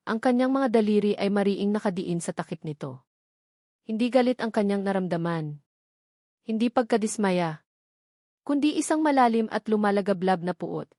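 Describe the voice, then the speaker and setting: A woman with a Filipino accent reads a dramatic romance story as its narrator. Her pitch sits in the middle of the female range, and the sound is very dry, with little room around it. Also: a little mellow, dry recording. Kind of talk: dramatic scripted narration of a Tagalog love story.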